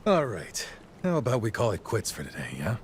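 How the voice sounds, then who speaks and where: An actor playing an easygoing middle-aged warrior captain and encouraging mentor in a video game cutscene. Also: male voice, on the low side, wildly swooping pitch, brisk, slight background noise. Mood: encouraging, hopeful.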